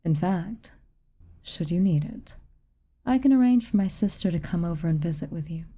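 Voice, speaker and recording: A woman voicing a haughty, reclusive tsundere witch in a soft ASMR roleplay, aloof and commanding, at times dropping near a whisper. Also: on the low side, lively intonation, normal speed. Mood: brusque, kind. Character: haughty, reclusive tsundere witch, stern but secretly kind.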